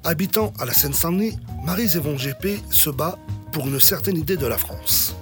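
A male host reads a scripted voice-over in a measured, formal way. His voice sits a little high for a man. Clear music plays under his words. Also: animated. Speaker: host, narrator.

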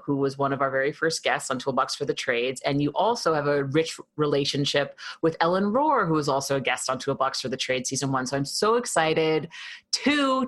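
A bubbly young woman hosts an interview podcast with an easy, friendly manner. Her voice is notably low for a woman, and she keeps the conversation light. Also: a bit bright. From Queens, New York. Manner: bubbly, friendly, laughs easily. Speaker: podcast host.

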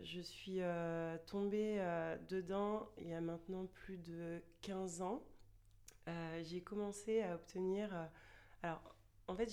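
A woman in her thirties answering interview questions conversationally, often pausing mid-sentence as she looks for her words. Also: fairly low pitch, warm tone. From France. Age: 30s. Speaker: podcast guest, luxury boutique director.

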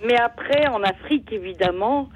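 An older woman calling in to a radio show, reminiscing in a halting, rambling way that drifts off the point. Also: a little high, animated, over a noisy crowd. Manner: digressive, halting. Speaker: radio phone-in caller. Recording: muffled call on radio.

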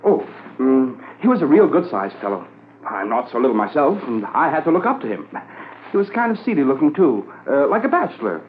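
An actor playing a folksy, apologetic small-town shopkeeper who rambles hesitantly, in a 1950s radio drama. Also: male voice, mid-range voice, very animated, a little fast, close to an everyday voice. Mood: earnest, hesitant. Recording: lo-fi 1950s radio recording, faint noise.

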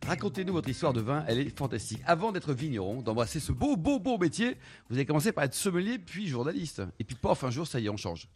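A man hosting a radio talk show on location, asking quick, probing and jokey questions. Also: fairly high pitch, big pitch swings, breathy edge.

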